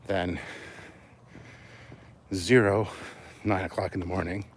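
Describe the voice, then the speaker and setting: A man's voice: low-pitched, steady tone, slow. A man, a bit out of breath as he walks outdoors, chatting casually and informally with livestream viewers. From Canada. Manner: informal, swearing, reads out and answers comments. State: out of breath from walking. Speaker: livestream host. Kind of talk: casual rambling chat with live viewers. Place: outdoors, walking. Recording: noisy outdoor recording with vehicle sounds.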